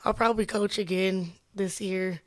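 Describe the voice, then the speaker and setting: A woman's voice: mid-range voice, steady tone. A nineteen-year-old woman from Utah, a musician guest on a podcast, talking in a chatty, upbeat way and acting out little quotes. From Utah, USA. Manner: chatty, upbeat, quick to laugh, acts out quotes. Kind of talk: casual interview chat.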